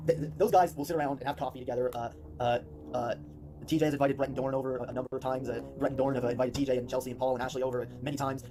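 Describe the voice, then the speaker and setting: A man's voice: medium pitch, steady tone, very fast. A man with a put-on British accent answering viewer questions in a rambling, filler-heavy way on a casual stream. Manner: rambling, many fillers and restarts. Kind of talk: casual stream talk in character.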